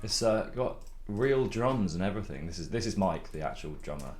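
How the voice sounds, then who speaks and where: A young man with a very low voice, chatting casually and swearing now and then as he mixes his own songs on a live stream. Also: lively intonation, brisk. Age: young adult. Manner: rambling, laid-back, swears casually, laughs a lot. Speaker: songwriter streaming his music production. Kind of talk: casual live-stream chat while mixing. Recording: loud music playing under the voice.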